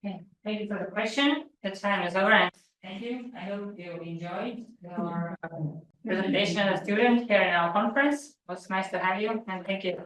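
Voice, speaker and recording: A woman's voice: medium pitch, moderate pace, a bit bright. A woman moderating a conference session, friendly, with light laughs between remarks. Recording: echoey room, from far off.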